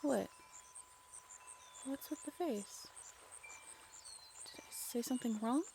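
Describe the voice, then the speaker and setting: A young woman acting an affectionate, playful girlfriend in a romantic audio roleplay, expressive and teasing. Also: fairly high pitch, takes it slowly, vocal fry, slight background noise. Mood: earnest, tender. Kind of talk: romantic comfort audio roleplay, acted one-sided conversation.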